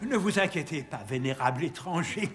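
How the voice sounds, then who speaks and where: A man's voice: a little high, expressive, brisk, loud music behind the voice, close to an everyday voice. A man voicing a courtly, cheerful magical cartoon creature who speaks in a flowery, ceremonious way. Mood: reassuring. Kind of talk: dubbed cartoon acting.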